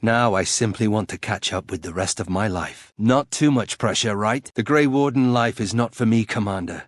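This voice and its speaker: Man acting a brooding low-voiced nobleman warrior. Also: moderate pace, no echo, clean studio sound, lightly acted. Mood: bitter, resentful. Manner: formal, terse, bitter. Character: brooding, proud young nobleman turned reluctant warrior. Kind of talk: fantasy video game voice acting.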